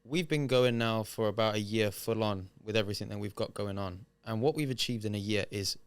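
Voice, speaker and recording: Co-host guy, lowish voice, dead dry close sound, earnest pep talk. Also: a little fast, clean tone, dry recording. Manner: earnest, motivational. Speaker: podcast co-host. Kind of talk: casual chat.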